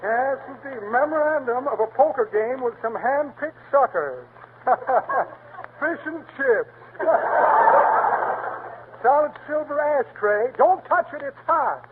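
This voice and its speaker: A sly punning pickpocket, very high. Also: male voice, lively intonation, slow, exaggerated voice. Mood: gloating. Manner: pun-laden, gloating patter. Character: sly male pickpocket boasting of loot. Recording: tinny 1930s radio broadcast, faint hiss.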